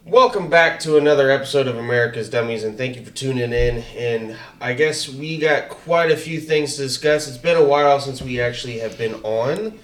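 A man co-hosting a casual political podcast, talking in energetic bursts with frequent restarts. He has a higher voice than his co-host. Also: fairly quick, few pauses. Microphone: headset mic with headphones.

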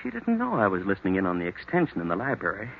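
A man playing an unhinged jealous husband in an old-time radio thriller, mocking and falsely calm with menace underneath. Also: low-pitched, rapid-fire, gravelly, a little hiss or hum, heavily acted. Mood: cold menace. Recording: muffled vintage radio recording.

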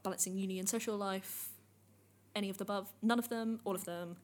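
A woman's voice: mid-range voice, steady tone, brisk. A young woman hosting a podcast, chatting casually and steering the talk with questions. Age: young adult. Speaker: podcast host. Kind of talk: casual chat, asking questions.

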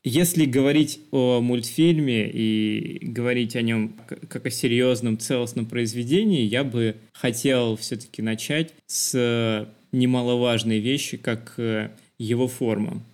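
A man's voice: mid-range voice, fairly even intonation, a little slow, hardly any pauses, darkish tone. A man co-hosting a podcast, discussing film in a thoughtful, explanatory conversational way. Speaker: podcast co-host.

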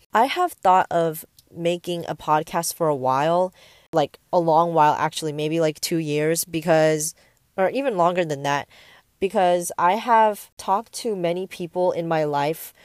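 A nineteen-year-old woman from the Bay Area chats casually, drifting between thoughts with plenty of "um" and "like." Her voice sits a little low for a young woman. It comes through earbud mics in a parked car, close and dry with no room sound. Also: a little mellow, no echo. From San Francisco Bay Area. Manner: rambling, easily distracted, frequent fillers. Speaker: podcast host. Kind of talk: casual solo podcast chat. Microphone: wired earbuds mic into a tablet.

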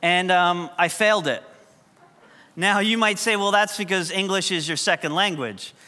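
A man's voice: high-pitched, normal speed, pushed and bright. New pastor guy preaching, chatty and jokey, voice rings out in a big hall. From New York. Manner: conversational, humorous storytelling. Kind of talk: sermon. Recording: long hall reverb.

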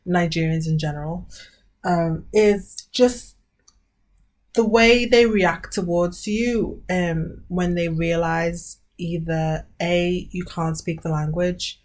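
A woman's voice: on the low side, slow pace. Chatty British woman podcaster. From Britain. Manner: animated, storytelling, lots of ums. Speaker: podcast co-host. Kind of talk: casual chat.

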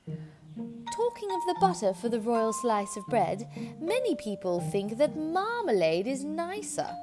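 A woman's voice: fairly high pitch, very animated, a little slow, voice pushed up. A woman voicing a genteel, coaxing queen in a children's storybook, airy and regal. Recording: music behind the voice.

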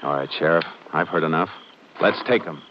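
An actor playing a calm, methodical Texas Ranger in a 1950s radio crime drama, speaking tersely and matter-of-factly. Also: male voice, a bass voice, moderate pace. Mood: quietly intent. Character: calm, methodical Texas Ranger lawman narrating his case. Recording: noisy, muffled 1950s radio recording.

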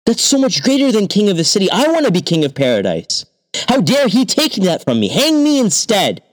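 A man voicing a pompous, greedy king in a told folk tale, raised high and excitable. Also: fairly quick. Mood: greedy excitement. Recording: long hall reverb.

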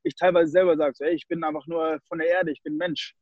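A man's voice: a little high, level tone, fast talker, dry recording, muffled recording. A young man from Germany, a guest in a casual interview, who slips English phrases into his German. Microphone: call recording.

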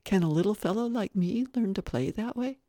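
Woman putting on a soft, high, weary little boy. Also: fairly quick, dry recording, close to an everyday voice. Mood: timid, wistful. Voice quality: soft, small child's voice. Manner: prim, formal politeness. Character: gentle, weary, sheltered little rich boy, polite and puzzled.

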